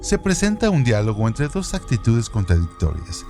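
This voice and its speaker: Man, mid-pitched voice, lively intonation, deliberate scripted reading. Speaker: co-host reading trivia segment. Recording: music playing underneath. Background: background music.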